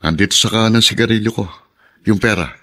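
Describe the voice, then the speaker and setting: A man acting a small-time drug pusher and pedicab-driver father in a Filipino radio drama, rough-voiced and husky. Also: on the low side, fast, sharp tone. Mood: wary, sly.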